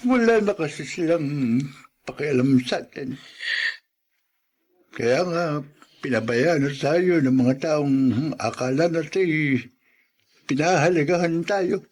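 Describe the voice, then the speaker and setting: An actor playing a resigned elderly man living in a care center, talking in a measured way in a Filipino radio drama. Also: a little high, darkish tone, a little hiss or hum.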